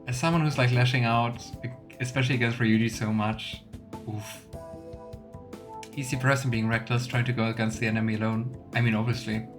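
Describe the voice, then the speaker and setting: A woman's voice: rumbling low, fairly even intonation, fairly quick. A young woman streaming a game from home, chatting into a desk mic. She is opinionated and rambles, with plenty of fillers. Game music plays under her voice. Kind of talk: let's play commentary.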